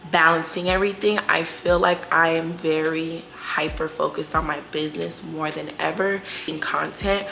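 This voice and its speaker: A woman's voice: low voice, fairly even intonation, normal speed, creaky, hardly any pauses, pushed and bright. A woman in her twenties talking casually in a question-and-answer vlog, slangy, chatty and candid, stretching words for emphasis. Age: 20s. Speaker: YouTube vlogger.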